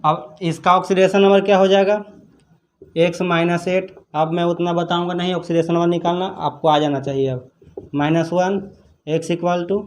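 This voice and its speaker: Guy teacher, pretty high voice, going thru problems step by step, repeats stuff a lot. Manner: repetitive, instructive. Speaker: chemistry teacher. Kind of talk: step-by-step exam problem lesson.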